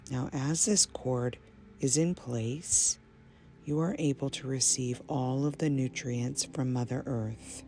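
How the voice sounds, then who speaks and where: A woman guiding a meditation in a soothing, measured manner, pausing between short phrases. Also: extremely low pitch, slight background noise. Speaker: meditation guide. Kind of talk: guided meditation, slow and soothing. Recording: soft binaural music bed. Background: ambient binaural meditation music.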